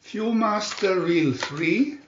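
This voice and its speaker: Guy narrating a picture story real slow and dramatic, dull roomy recording. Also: sits high, expressive, slight background noise. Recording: dull, echoey room recording.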